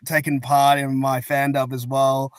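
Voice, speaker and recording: A man's voice: mid-range voice, monotone, unhurried, clean tone, dry recording. A young Australian man hosting a casual chat, talking in an earnest, rambling way. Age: young adult. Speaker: podcast host.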